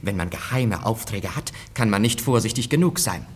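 A boastful, gullible go-between from a classic radio comedy. He confides with great self-satisfaction in a husky voice, his pitch leaping about as he drives each point home. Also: expressive, normal speed. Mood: conspiratorial, pleased. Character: boastful, gullible, chatty male go-between servant.

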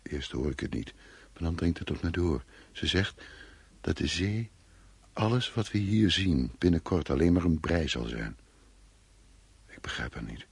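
An actor playing an anxious, irritable man fleeing war in a radio play, with a husky voice. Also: rumbling low, lively intonation, measured pace, dry recording, heavily acted. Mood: brooding, dreamlike. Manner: agitated, commanding, brooding when narrating. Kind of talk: Dutch radio play acting.

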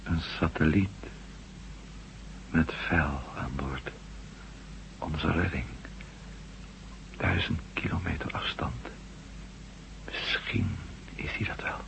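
A man's voice: a bass voice, takes it slowly, vocal fry, long pauses, a bit bright, whispered, heavily acted. A man acting a weary, troubled former astronaut in a radio drama, speaking hesitantly and broodingly. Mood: dreamy, murmuring. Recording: muffled, noisy old radio recording with hum.

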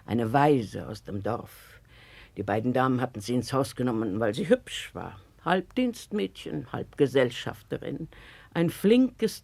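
Brooding elderly housekeeper, dryly recorded. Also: female voice, a bass voice, vocal fry, a little mellow, breathy edge, no echo, full character voice. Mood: wistful. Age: older. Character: elderly Russian housekeeper, bitter and watchful. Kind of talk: 1960s German radio play acting.

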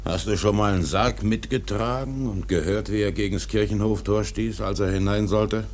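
A man playing a brooding, obsessed old whaling captain in a German radio drama, with a gravelly, declamatory delivery. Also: on the low side, steady tone, moderate pace, darkish tone, slight background noise, natural voice. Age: older. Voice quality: gravelly, rough.

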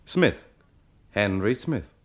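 An actor playing a composed, dogged police detective with a measured, formal manner in a vintage radio crime play. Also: male voice, lowish voice, big pitch swings, breathy edge, heavily acted. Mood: composed, probing. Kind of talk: 1950s-60s German radio play acting. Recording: vintage mono radio recording.

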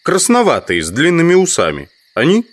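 An actor playing an inquisitive, sceptical insect collector from the city in an audio drama. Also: male voice, on the high side, huge pitch swings, a little fast, dry recording. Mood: insistent, sceptical. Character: male amateur entomologist, inquisitive, sceptical, politely insistent city visitor. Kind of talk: Russian audio drama, dialogue and inner monologue.

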